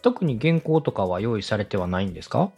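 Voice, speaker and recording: A man with a husky, mid-pitched voice interviews as a podcast host. His intonation moves up and down in a lively way as he courteously encourages and advises. Soft music plays behind him. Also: fairly quick. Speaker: podcast host, radio director. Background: background music.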